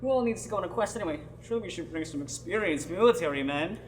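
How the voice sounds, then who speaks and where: A man's voice: high-pitched, animated, rapid-fire, slight background noise. A young man in a student radio drama, playing a nervous man who stammers when flustered. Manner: jittery, stammering.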